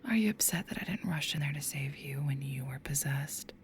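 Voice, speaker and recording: A woman's voice: deep voice, fairly quick, slight background noise, close to an everyday voice. A woman voicing a taunting demon that possesses a young woman, whispery and mocking in an audio drama.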